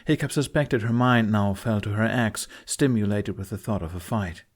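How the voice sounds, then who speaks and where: A man's voice: lowish voice, moderate pace. A man reading scripted, dramatic fantasy narration as an audiobook narrator.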